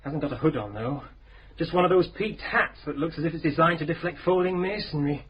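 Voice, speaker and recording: Actor as a young detective sergeant, laconic, deadpan, dull old broadcast sound. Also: male voice, fairly high pitch, a little fast, a little hiss or hum, natural voice. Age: young adult. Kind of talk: radio drama acting.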